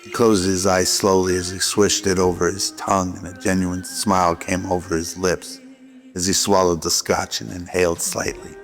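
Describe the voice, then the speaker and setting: A man's voice: low-pitched, level tone, moderate pace. A man narrating a scripted noir radio drama. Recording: music behind the voice.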